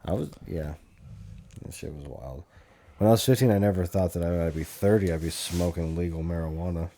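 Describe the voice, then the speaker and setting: Podcast host: American male, thirty, deep relaxed voice, loose and foul-mouthed conversational delivery. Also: brisk. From Montana, USA. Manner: crude, profanity-heavy slang. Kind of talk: casual chat.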